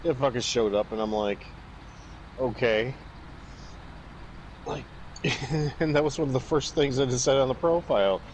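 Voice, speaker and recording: A man's voice: mid-range voice, unhurried, pauses often. A young man chatting casually and telling stories in a crude, sweary way, acting out the dialogue as he goes. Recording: vehicle and road noise.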